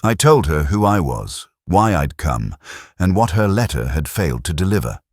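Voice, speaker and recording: A man narrating a literary detective story in the first person, in a measured, reflective and solemn manner. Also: sits low, sing-song, husky, dry recording, clean studio sound.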